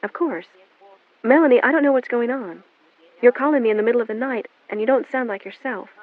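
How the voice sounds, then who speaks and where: A woman's voice: fairly high pitch, a little fast, voice pushed up, lightly acted. A woman voicing a frantic, pleading mother. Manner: pleading, rising and breathless. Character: frantic middle-aged mother, pleading, guilt-ridden. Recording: narrow phone-like band, dry.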